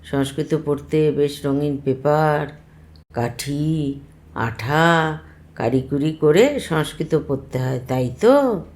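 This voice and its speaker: A woman's voice: low-pitched, expressive, slow, pauses often, darkish tone, full character voice. A woman voicing an indulgent but shrewd uncle in a children's story, coaxing and probing as he talks. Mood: slyly probing. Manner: coaxing, probing, mock-approving.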